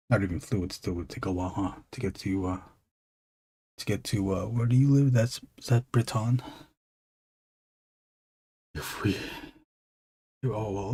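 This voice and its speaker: A low, husky male voice with a dark, mellow tone. He chats slowly and loosely with his stream viewers while he works, trailing off and circling back to questions. It sounds like unhurried late-night live-stream talk. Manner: rambling, talks to chat readers. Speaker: illustrator streaming his drawing. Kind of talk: casual live-stream chat while drawing.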